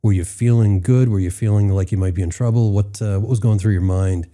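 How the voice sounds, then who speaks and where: A man's voice: lowish voice, fairly even intonation, fairly quick, mellow. A man hosting a conversational interview, posing questions and adding commentary. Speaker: interview host.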